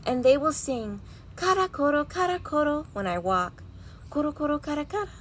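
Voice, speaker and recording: A woman voicing an earnest, wistful young schoolgirl in a storybook, with expressive delivery. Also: high voice, moderate pace, pitched above the performer's own voice. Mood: eager. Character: young Japanese schoolgirl, earnest, wistful, scheming about her clogs. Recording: noisy home recording.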